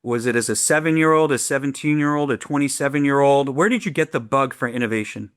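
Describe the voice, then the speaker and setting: A man's voice: medium pitch, fast, fairly bright tone, smooth. A middle-aged man with an American accent, hosting an informal interview in an effusive, conversational manner. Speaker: podcast host.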